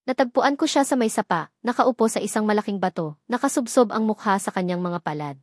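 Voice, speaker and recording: A woman's voice: medium pitch, a little fast, no echo, studio quality. A woman with a Filipino accent reading a scripted, first-person dramatic story as narrator.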